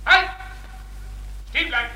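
An actor playing a brisk, strict army sergeant on guard in a 1940s German radio play, curt and by-the-book. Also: male voice, pushed and bright, a little hiss or hum. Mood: curt, commanding.

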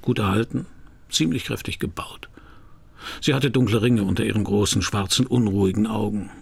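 An actor playing a laconic middle-aged private detective in a radio crime drama, with a dry, understated manner and a gravelly voice. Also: male voice, lowish voice, normal speed. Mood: wry narrating. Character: wry, laconic French private detective, middle-aged man, narrating his case. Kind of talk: 1990s radio crime drama, first-person narration and dialogue.

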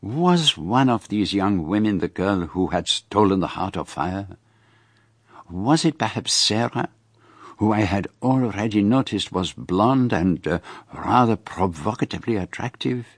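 An actor playing a courteous, inquisitive foreign detective with a French-speaking accent, formal and precise in a radio drama. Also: male voice, on the low side, lively intonation, measured pace, husky, heavily acted. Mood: measured narration. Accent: French-speaking European. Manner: formal, precise, hesitant politeness. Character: courteous, inquisitive foreign male detective, middle-aged or older. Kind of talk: radio drama dialogue and first-person narration.

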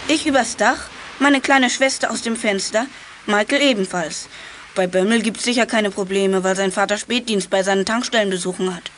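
A female performer plays a confident child detective in a children's audio drama. The delivery is matter-of-fact at a middle pitch, with faint noise behind it. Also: normal speed, a bit bright, natural voice.

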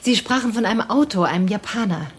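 A woman's voice: mid-range voice, lively intonation, fast talker, a little hiss or hum, close to an everyday voice. An actress playing a guarded middle-aged woman in a radio drama, educated and evasive, nervous yet defiant.

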